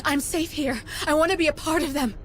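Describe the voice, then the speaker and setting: A woman's voice: high-pitched, fairly quick, a bit bright, a little hiss or hum. An actress playing a terrified, deluded colonist woman in a dramatic video game, frantic and fanatical. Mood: fearful longing.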